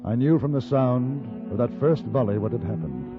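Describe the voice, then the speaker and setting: A man acting a composed, courteous frontier gentleman in a 1950s radio western, speaking in a measured, understated way. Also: medium pitch, animated, close to an everyday voice. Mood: grave, reflective. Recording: muffled old-time radio recording with music.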